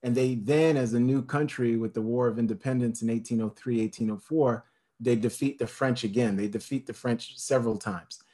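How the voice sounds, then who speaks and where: A man's voice: medium pitch, fairly even intonation, fairly quick, dark tone. An impassioned man with a mid-range voice talks about history. He builds his points into long emphatic lists, often with fillers and restarts. The delivery is fervent and conversational rather than scripted. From United States. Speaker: speaker on history.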